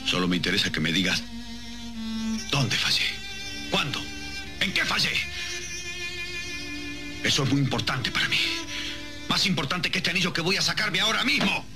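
A man's voice: on the high side, huge pitch swings, moderate pace, long pauses, over music. Hot-tempered jilted guy in old tv soap, insistent, voice leaping all over, violins behind him. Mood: hurt, demanding. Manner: intense, insistent. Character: hot-tempered Italian suitor, jilted and demanding answers. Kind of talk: 1970s television soap opera acting. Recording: old TV soundtrack with loud strings.

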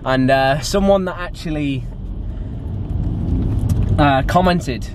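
A young man talks to his camera in a loose, rambling, chatty way, gesturing as he goes. The sound is the camera's own mic inside a car, with clear traffic and road noise around him. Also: a little high, slow pace. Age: 20s. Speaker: fitness vlogger. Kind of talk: casual vlog chat. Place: inside a parked car, then a home garage gym. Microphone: camera mic at arm's length. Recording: noisy car interior with traffic outside.

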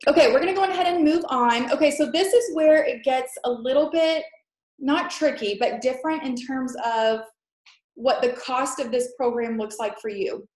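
A woman's voice: high-pitched, normal speed, a little mellow. A young woman with a stuffy head-cold nose, presenting a fitness webinar over a laptop mic in a chatty, filler-heavy, reassuring way. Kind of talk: casual sales presentation. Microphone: laptop mic on a video call. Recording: echoey room on a video call.

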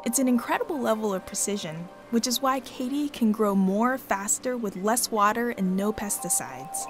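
A woman reporter narrating a scripted news feature in a measured, clear voice. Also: medium pitch, animated. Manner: measured, clear voice-over delivery. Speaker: reporter and host. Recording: voice-over with background music.

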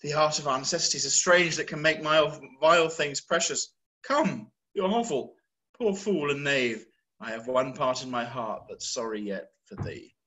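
A man reading the part of a raging old king in a storm, declaiming and swinging between roaring and hushed lines. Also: on the high side, normal speed, rough recording. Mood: weary. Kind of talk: play read-through.

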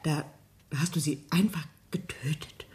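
An actress playing an anguished, disbelieving wife in a radio play, halting and pleading. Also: female voice, low voice, a little mellow. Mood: horrified.

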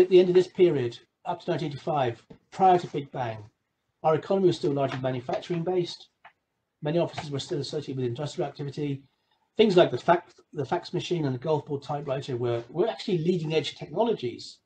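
A man giving an explanatory slide lecture over a video call, measured, with emphatic phrase openings. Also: on the high side, mellow, a little hiss or hum, poor audio. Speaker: guest lecturer presenting a webinar.

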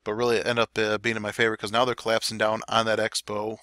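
A man giving relaxed, chatty play-by-play commentary over game replays, his throat a little dry. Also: on the low side, fast talker, no echo. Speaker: clan war recap commentator.

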